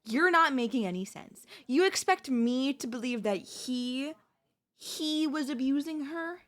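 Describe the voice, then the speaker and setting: A woman playing a devoted, possessive wife in an ASMR audio roleplay, speaking haltingly with deliberate dramatic pauses. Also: sits high, animated, a bit bright. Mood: puzzled, suspicious. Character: devoted wife, shocked then eerily composed, quietly possessive.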